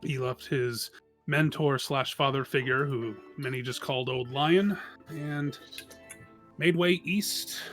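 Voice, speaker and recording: Male tabletop player, slow and searching as he introduces a character, soft music underneath. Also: mid-range voice. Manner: halting, searching for words.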